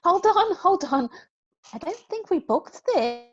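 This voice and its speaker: A woman's voice: very high-pitched, big pitch swings, a little slow, lo-fi sound, heavily acted. A woman reading the part of a polite, flustered hostess mum in an amateur online play reading. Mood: startled.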